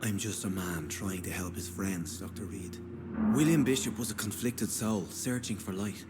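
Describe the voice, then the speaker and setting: A low-voiced, weary man of faith , played by a male actor in a dramatic video game. He speaks earnestly and humbly, with courteous plainness, while music plays behind him. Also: moderate pace, close to an everyday voice. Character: weary, devout, humble man running a homeless shelter.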